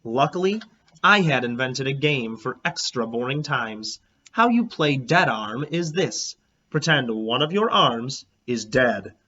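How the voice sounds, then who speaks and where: A man reading a children's storybook aloud as a teacher, in an expressive, animated, emphatic way. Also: mid-range voice, unhurried, highs cut off. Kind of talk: expressive storybook read-aloud in a child narrator's first person.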